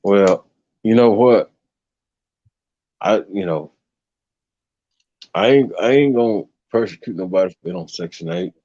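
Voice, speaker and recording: A man with a deep bass voice hosting a casual livestream chat, given to preachy rants. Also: a little slow, pauses often, warm tone, lo-fi sound. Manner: ranting, preachy asides. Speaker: stream host.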